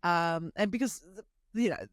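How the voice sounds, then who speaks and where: Aussie woman in her 50s, greek first language, telling her story all animated and funny, dry close sound. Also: fairly low pitch, unhurried, no echo. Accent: Australian. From Australia. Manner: animated, emphatic, humorous storyteller. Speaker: podcast host. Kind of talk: conversational testimony storytelling.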